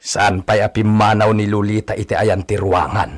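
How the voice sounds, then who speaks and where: A man playing a concerned, plain-spoken village manservant in a radio drama, husky and conversational. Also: on the low side, level tone, normal speed, lightly acted. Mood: gossiping.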